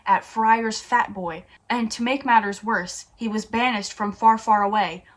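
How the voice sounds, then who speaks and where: A woman's voice: a little high, fairly even intonation, unhurried. A woman hosting a comedic read-aloud, playful and mock-dramatic, narrating a story and dropping in her own asides. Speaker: YouTube host.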